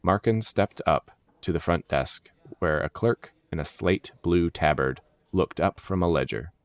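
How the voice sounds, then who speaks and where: Deep guy narrating a fantasy story from a script, really dry recording. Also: a little slow, clear, resonant tone, dry recording.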